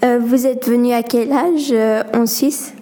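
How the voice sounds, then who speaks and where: A woman hosting a student radio show, reading interview questions with frequent hesitant pauses. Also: a little high, steady tone, warm tone.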